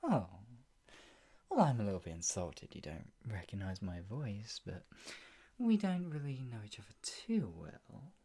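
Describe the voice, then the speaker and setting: A performer acting a smug, possessive yandere kidnapper in an improvised ASMR roleplay, teasing with chuckles, sighs and breathy whispers. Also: mid-range voice, huge pitch swings, a little slow, dark tone, heavily acted. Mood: gloating, teasing.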